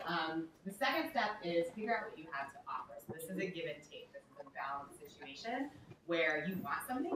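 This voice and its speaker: A woman's voice: on the low side, noisy background. Young woman speaking in an echoey hall. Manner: upbeat, frequent "um" and "like". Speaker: workshop speaker. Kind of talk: motivational talk. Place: large echoey event room. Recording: echoey room, speaker far from mic.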